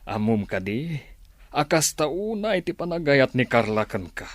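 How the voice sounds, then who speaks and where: An indulgent middle-aged boss and father in a radio drama. His medium-pitched voice is deliberate and weighty, carrying measured authority. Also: sing-song, no echo. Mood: measured, authoritative. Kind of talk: Ilocano radio drama acting.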